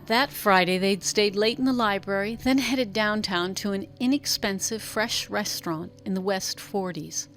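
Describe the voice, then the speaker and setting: A woman's voice: medium pitch, moderate pace, hardly any pauses, a bit bright, soft background music. A woman reading a literary story aloud as an audiobook narrator, in scripted narration.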